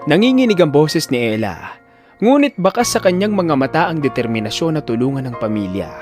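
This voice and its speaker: A man narrating a scripted audio drama in a solemn, expressive, theatrical storytelling style. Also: a little high, a little fast, music in the background.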